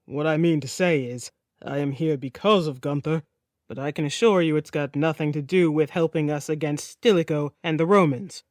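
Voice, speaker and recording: A big, blunt young warrior prince, speaking in short, gruff, low lines, as a woman narrator puts him on. His delivery is plain and awkward, recorded close and dry. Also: normal speed, a little mellow, no echo, close to an everyday voice. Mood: flustered. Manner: terse, awkward, understated. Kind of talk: audiobook character voice.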